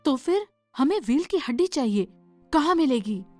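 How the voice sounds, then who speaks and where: A woman voicing a brave, resolute young queen in an animated story, with a firm, commanding manner. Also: sits high, moderate pace, faint music behind.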